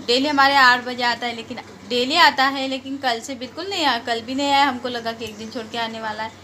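A middle-aged woman talks to her phone camera as she walks through her home and courtyard. Her high voice is homely and chatty, stumbling over fillers and restarts, with faint noise in the background. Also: fairly even intonation, a little fast, bright, forceful tone. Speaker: home vlogger. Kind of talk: casual vlog narration. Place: home and courtyard, indoors and outdoors.

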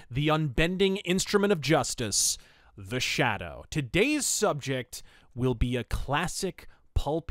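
A man's voice: medium pitch, fairly bright tone, dry recording, clean studio sound. A man hosting a solo review podcast, with a wordy, articulate and flourished way of talking. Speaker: podcast host.

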